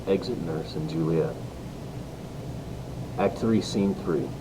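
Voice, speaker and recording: Over clear rumbling noise and a little away from the mic, a man reads stage directions. His voice is low and unhurried, in a plain scripted narration. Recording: distant mic, noisy rumbling background.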